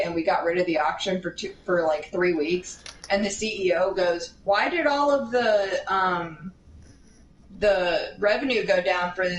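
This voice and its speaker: A woman of medium pitch tells an anecdote in a casual, animated, rambling manner. She slips into tangents and performs the quotes of the people involved. The recording is echoey and a bit distant from the microphone, with faint noise behind her. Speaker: storyteller. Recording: roomy, distant mic, steady background noise.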